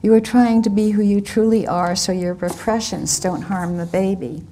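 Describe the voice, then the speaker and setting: A woman reading the lines of a persuasive, worldly man who speaks in a soft, measured, reassuring way. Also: mid-range voice, fairly even intonation, slight background noise, lightly acted. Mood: soothing.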